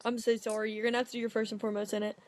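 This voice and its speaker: A woman's voice: a little high, little pitch movement, rapid-fire, darkish tone, dry recording. A woman co-hosting a podcast, chatting casually with easygoing, giggly banter. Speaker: podcast co-host. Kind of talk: casual chat.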